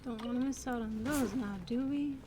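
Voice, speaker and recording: Soft-spoken young woman with a high voice. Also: fairly even intonation, moderate pace, background noise. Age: 20s. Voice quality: youthful-sounding. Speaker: gaming video creator. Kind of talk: casual gameplay commentary. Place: voice recorded over video game audio. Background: game music.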